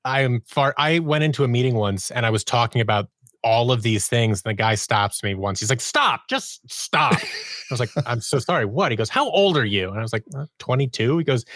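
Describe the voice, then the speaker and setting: A man with a mid-pitched voice talks casually as a guest on a show. He is chatty and rambles a little, breaking off his own sentences. The sound is dry and close. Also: sing-song, fairly quick, a bit bright, dry recording. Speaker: podcast guest, audio drama producer. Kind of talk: casual interview chat.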